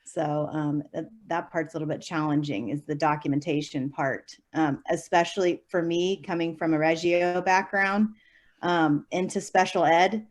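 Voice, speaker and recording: Chatty woman teacher, lowish voice. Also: steady tone, moderate pace. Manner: chatty, frequent fillers and light laughs. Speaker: special education preschool teacher. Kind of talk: interview answers, conversational.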